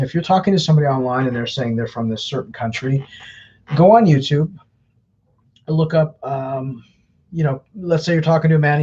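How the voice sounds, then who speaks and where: A man's voice: mid-range voice, a little mellow, breathy edge, dull audio. A man hosting a live Q&A, earnestly giving advice to viewers in a loose, repetitive way. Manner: earnest, advising, repeats words often. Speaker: host answering viewer questions. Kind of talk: live Q&A, casual explaining.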